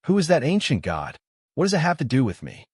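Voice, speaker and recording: A man's voice: on the high side, huge pitch swings, quick pace, a little mellow, breathy edge, no echo, clean studio sound, voice pushed up, full character voice. A man voicing a brash, cocky young male fantasy hero in an audiobook, exclaiming and scoffing as he talks. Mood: scornful. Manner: exclamatory, scoffing, self-interested.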